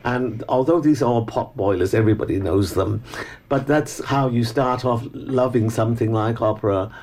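An older man with an educated Hong Kong English accent, a Cantonese speaker, reminiscing as a guest in a relaxed interview with frequent restarts and small laughs. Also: medium pitch, normal speed. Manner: thoughtful, with many restarts and soft laughs.

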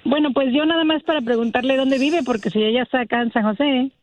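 A woman's voice: high-pitched, fast talker, no room sound. A woman chatting casually and agreeably as a participant on a radio show. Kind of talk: casual on-air conversation.

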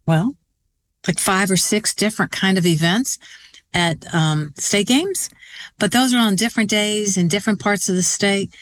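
A woman's voice: mid-range voice, no echo. A woman of seventy answering questions conversationally as an interview guest and organiser.

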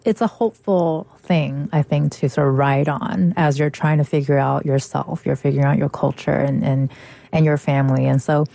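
Female radio host, very low gravelly voice, warm and mellow, conversational. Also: steady tone, normal speed, no echo. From United States. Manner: thoughtful, conversational. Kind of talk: radio interview.

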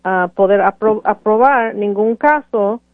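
A woman's voice: mid-range voice, unhurried, no room sound. A woman lawyer answering callers on a radio call-in show, hesitant, with many fillers and restarts. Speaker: immigration lawyer. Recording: tinny phone-like radio audio, dry.

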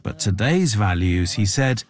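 A man reporting as a news correspondent, reading a measured scripted report and paraphrasing the people he interviewed. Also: fairly low pitch, lively intonation, a crowd in the background.

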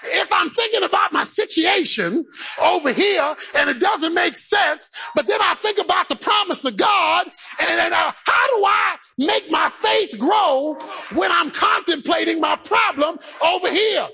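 A man's voice: very high voice, expressive, a little slow, vocal fry, sharp tone, raised voice, soft background music. A man preaching a sermon, calling out and half-singing his phrases, acting out the voices of the people he preaches about. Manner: preaching in a rising, half-sung chant; acts out the believer's inner voice. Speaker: pastor. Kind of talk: shouted sermon.

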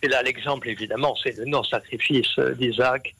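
A man's voice: medium pitch, fairly even intonation, fairly quick, background noise. A man explaining ideas at length in an interview, with many fillers and restarts. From half Brazilian. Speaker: interview guest, scholar.

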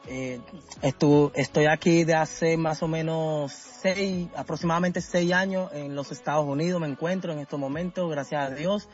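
A man from Venezuela talking casually as a guest in a radio interview, halting and often searching for his words. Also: fairly high pitch, steady tone, highs cut off, soft background music. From Caracas, Venezuela. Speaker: musician being interviewed.

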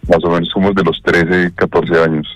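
A man's voice: low-pitched, little pitch movement, normal speed, poor audio. A man in his thirties from Bogotá, calling into a talk show by phone, modest and a bit hesitant. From Bogotá, Colombia. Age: 30s. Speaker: radio show caller. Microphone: phone call.

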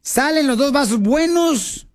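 A man's voice: very high-pitched, lively intonation, measured pace. A man with a Mexican accent hosting a radio show, cracking jokes and calling his listeners paisanos. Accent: Mexican Spanish. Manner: playful, teasing, addresses listeners as paisanos. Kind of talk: joking radio banter.

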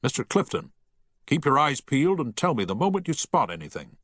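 A commanding sea captain, put on by a male narrator, bellowing orders in a raised, lively voice. He barks each command over a clear, dry recording. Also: medium pitch, a little fast, no room sound, voice pushed up. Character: commanding male ship captain under fire.

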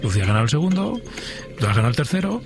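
A man's voice: medium pitch, sing-song, racing, music in the background. A man hosting a radio quiz, bantering and chuckling as he goes. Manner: jokey, chuckling. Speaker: radio show host. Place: radio studio.

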